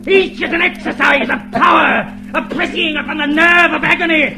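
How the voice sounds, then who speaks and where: An actor playing a cold, fanatical, domineering party torturer in a vintage radio drama, with theatrical, emphatic menace. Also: male voice, extremely high pitch, moderate pace, vocal fry. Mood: fanatical, exultant. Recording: noisy, humming old radio drama recording.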